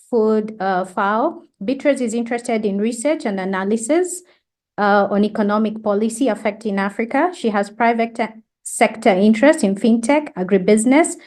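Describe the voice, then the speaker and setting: A woman's voice: mid-range voice, darkish tone. A woman with a Kenyan accent moderating a webinar, reading panelist introductions with frequent hesitations over a video call.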